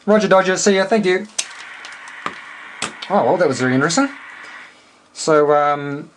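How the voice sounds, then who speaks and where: A man with a New Zealand accent talking casually and unscripted in a halting, rambling way, as a hobbyist thinking aloud. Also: a little high, animated, slight background noise. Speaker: amateur radio operator and homebrew electronics hobbyist. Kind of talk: casual unscripted technical walkthrough.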